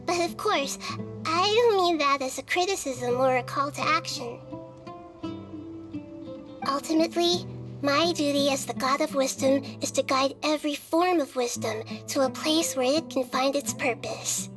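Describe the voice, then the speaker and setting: A high, childlike voice of a small goddess of wisdom, acted by a woman. The delivery is serene and measured, formal like a philosopher, as in a video game, with music playing behind it. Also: lightly acted. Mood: serene, wise.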